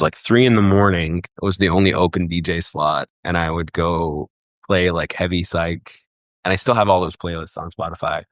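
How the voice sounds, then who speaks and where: A man's voice: low voice, fairly bright tone, no room sound, clean studio sound. A young man from Egypt talking casually as a podcast guest, rambling with many fillers and restarts. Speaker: guest, festival booker.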